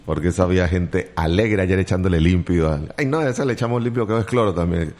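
Deep-voiced chatty Colombian radio host. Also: male voice, quick pace, darkish tone. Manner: joking, chatty, teasing asides. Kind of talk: casual morning radio chat. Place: radio studio.

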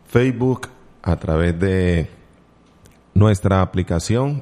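A man's voice: low-pitched, mellow. A man with a Colombian accent hosting casual morning radio from a studio, chatty and joking with teasing asides. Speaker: radio host.

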